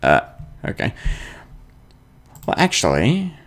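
A man's voice: fairly low pitch, wildly swooping pitch, slow pace. A man giving casual gaming commentary in a rambling, hesitant, informal way. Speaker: let's play gamer.

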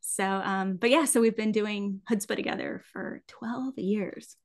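An American woman in her thirties chatting casually and enthusiastically as an interview guest, in slangy, animated phrases. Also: medium pitch, a little slow. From United States (Kentucky, New York, California). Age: 30s. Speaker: podcast guest, design studio co-founder. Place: indoors, curtained room, on a video call. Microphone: desk microphone.

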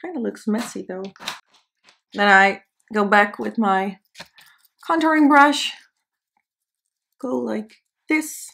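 A woman's voice: medium pitch, slow pace, vocal fry, pauses often, a bit bright. A young woman in her twenties whose first language is Dutch, chatting casually and self-deprecatingly while doing her makeup on camera in a small indoor studio. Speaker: beauty vlogger. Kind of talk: casual makeup tutorial chat.

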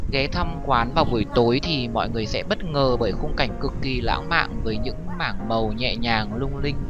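A man's voice: medium pitch, dull audio. Man narrating travel vlog over prominent music. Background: prominent background music.

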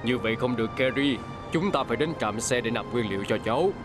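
A man plays a kindly uncle truck in a cartoon, firm but fatherly as he gives advice. His voice is middle-pitched and steady. Music plays clearly beneath. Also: fast, lightly acted. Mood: concerned. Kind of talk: cartoon dubbing.

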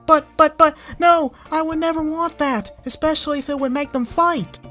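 Woman doing a young hippogriff girl, squeaky, very high, gushing, bubbly, storybook. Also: steady tone, moderate pace, bright, forceful tone, faint music behind. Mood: excited, flustered.

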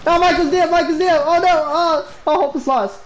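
A young man streaming a video game, shouting quick repeated callouts to his squad in casual chat. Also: very high voice, steady tone. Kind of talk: excited gaming chat. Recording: gaming voice chat with noise.

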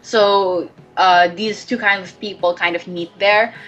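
A woman's voice: medium pitch, fairly even intonation, a little slow, a bit bright, dull audio. Rambling woman guest on a podcast. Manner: rambling, many fillers and restarts. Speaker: podcast guest, webcomic creator. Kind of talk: casual podcast chat.

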